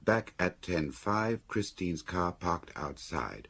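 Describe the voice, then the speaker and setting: An actor playing a dry, sceptical policeman in a radio drama, gravelly, reading out notes in a clipped, telegraphic way. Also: sits low, sing-song, slow pace, a bit bright, a little hiss or hum, full character voice. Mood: businesslike. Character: dry, sceptical policeman reading back a murder suspect's statement.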